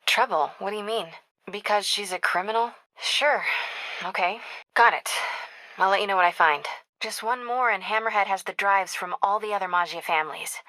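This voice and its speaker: Female actor for young American investigative reporter, video game: mid-pitched, crisp bright edge, sharp and determined, wry natural phone delivery. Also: dry recording, lightly acted, low-quality recording. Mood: annoyed, wary, then focused. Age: young adult. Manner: wry, brisk, conversational.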